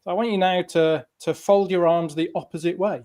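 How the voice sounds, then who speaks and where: Higher-voiced man, thoughtful and halting. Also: fairly even intonation, a little mellow. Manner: thoughtful, halting, leads a little exercise. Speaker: guest. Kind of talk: casual explanation.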